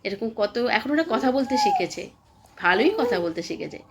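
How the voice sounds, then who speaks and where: Woman vlogger, lively pitch, noisy recording. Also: brisk. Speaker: vlogger, channel host. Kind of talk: casual personal vlog voice-over addressing viewers.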